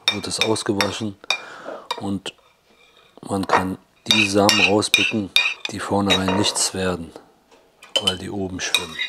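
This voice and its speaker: A hoarse man with a cold, explaining gardening in a plain, matter-of-fact way with slightly broken German. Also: sits low, heavy background noise. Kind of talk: casual explanation. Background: clinking dishes and cutlery.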